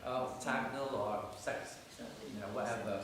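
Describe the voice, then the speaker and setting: A man's voice: mid-range voice, steady tone, normal speed, a crowd in the background. Man asking from across an echoey room. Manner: searching for words while explaining. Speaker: meeting attendee asking a question. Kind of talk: question from the floor. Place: church meeting room. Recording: echoey room, speaker far off, faint hum.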